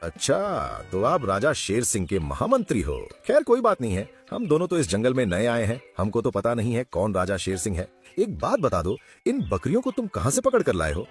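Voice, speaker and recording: A man's voice: medium pitch, wildly swooping pitch, fairly quick, few pauses. A man voicing a sly animal hunter who feigns innocence in a cartoon story. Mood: falsely innocent. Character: sly male predator feigning an innocent newcomer. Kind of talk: Hindi cartoon story acting. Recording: prominent music under the voice.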